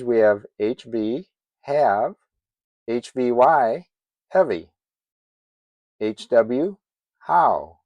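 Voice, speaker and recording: An older man giving an informal instructional narration in a measured, plain-spoken manner, as a hobbyist presenter. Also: medium pitch, darkish tone, no room sound. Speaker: amateur radio operator presenting a tutorial.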